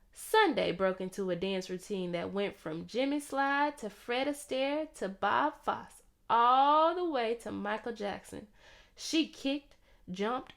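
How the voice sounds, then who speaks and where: A woman reading a children's story aloud in an expressive, theatrical way. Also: fairly high pitch, gravelly. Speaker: storybook reader.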